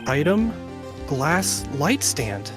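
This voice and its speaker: A man's voice: fairly high pitch, very animated, extremely slow, music in the background. A man narrating a video game playthrough, reading out on-screen text in an amateur way.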